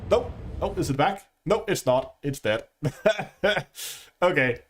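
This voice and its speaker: A man's voice: a little high, lively intonation, takes it slowly, husky. A man with a Danish accent hosting a casual gaming livestream, chatting with viewers and laughing often. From Denmark. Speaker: livestream host.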